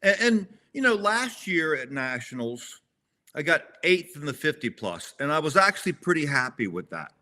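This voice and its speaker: A man's voice: a little high, big pitch swings, moderate pace, bright, forceful tone. A man in his sixties talking as an interview guest, rambling a little with restarts and self-corrections. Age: 60s. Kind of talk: casual conversational interview.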